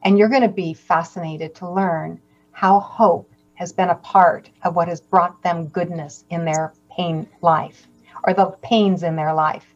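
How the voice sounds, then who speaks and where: A woman hosting a video call panel, gently and encouragingly introducing each guest. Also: fairly low pitch, steady tone, unhurried, dull audio. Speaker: host. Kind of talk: moderating a panel conversation.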